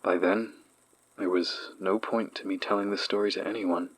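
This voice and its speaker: A man acts out a haunted survivor of childhood horrors in a horror audio drama. His voice is low and husky, and he tells his story as a troubled first-person account. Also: steady tone, normal speed, poor audio. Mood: haunted, weary.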